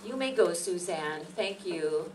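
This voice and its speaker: A woman's voice: on the low side, animated, a little slow. Woman playing a reserved young secretary, noisy room. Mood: polite, reserved. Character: polite young secretary, reserved but firm. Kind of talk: amateur staged radio play. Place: meeting room stage before an audience. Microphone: stand microphone. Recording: distant mic, noisy room.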